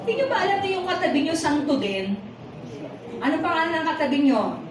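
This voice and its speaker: A woman's voice: high-pitched, brisk, a crowd in the background. A woman with a Filipino accent preaching conversationally in Tagalog and English, teasing and putting questions to her congregation. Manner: animated and teasing, puts rhetorical questions to the congregation. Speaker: preacher at a Sunday worship service. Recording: echoey hall from far off, noisy.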